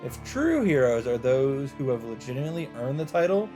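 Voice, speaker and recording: A man reading a visual novel script aloud in an expressive narrating style. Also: medium pitch, fairly quick. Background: game music.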